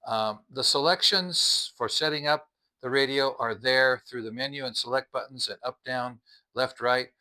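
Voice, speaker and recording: A mature man talks through a product in a casual review style. His voice is bright and forward, sitting at an average male pitch. He explains things plainly and methodically, point by point. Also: moderate pace.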